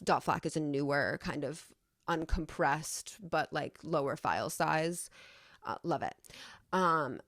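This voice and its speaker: A woman's voice: low-pitched, creaky, fairly bright tone, no room sound, clean studio sound. A young American woman rambling casually as a vlogger, effusive and sweary in how she talks. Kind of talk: casual rambling chat to camera.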